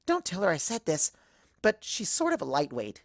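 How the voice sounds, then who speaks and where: Bubbly young cartoon mare telling her own fan story, excitable, very animated delivery female performer. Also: fairly low pitch, moderate pace, no echo, clean studio sound, full character voice. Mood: chatty. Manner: perky, excitable, big swoops. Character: bubbly, hyperactive party-loving young female cartoon pony. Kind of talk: first-person fan fiction narration in character.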